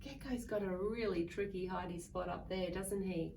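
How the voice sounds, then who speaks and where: A woman reading a picture book aloud to young children in a playful, expressive way, drawing out words and acting out sounds. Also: lowish voice, moderate pace, creaky. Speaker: children's story reader. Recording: distant mic with faint background noise.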